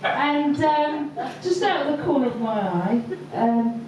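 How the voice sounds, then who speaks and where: A woman's voice: sits high, lively intonation, a little mellow. Hesitant woman telling stories in an echoey room. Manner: hesitant, lots of ums, slow deliberate delivery. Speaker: stand-up comedian. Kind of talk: stand-up storytelling. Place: comedy venue with audience laughter. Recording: echoey far-off live room recording.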